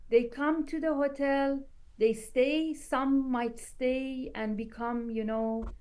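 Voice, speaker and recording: A woman scholar whose first language is Persian, speaking in a measured way and explaining her view through an extended comparison in a roundtable discussion. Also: high-pitched, steady tone, darkish tone. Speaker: scholar guest.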